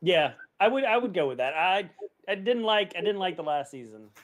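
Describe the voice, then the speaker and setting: Man, fairly high voice, very lively intonation, casual podcast host. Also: normal speed. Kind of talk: casual, informal chat.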